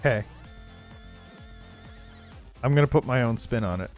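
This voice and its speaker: A man hosting a casual game livestream, thinking aloud haltingly and improvising story prompts as he goes. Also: medium pitch, a little mellow, soft background music. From Canada. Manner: halting, thinking aloud, frequent throat clearing. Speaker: livestream host.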